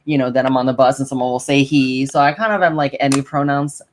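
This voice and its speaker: A low-pitched woman talking as a guest in a relaxed interview. She speaks thoughtfully and hesitantly, with lots of fillers as she works out her point. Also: fast talker, slight background noise. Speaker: guest, drag performer. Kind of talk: casual interview chat.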